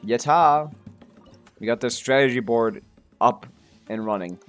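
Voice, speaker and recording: A man's voice: fairly low pitch, moderate pace, fairly bright tone. A man streaming a game with casual, rambling, self-questioning commentary. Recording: stream with game music behind.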